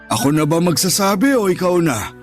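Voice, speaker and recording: An apologetic, gentle father in a Filipino radio drama. His voice sits a little high, earnest and conciliatory, with faint music behind. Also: very animated, brisk. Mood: urging. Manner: soothing, earnest. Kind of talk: Filipino audio drama acting.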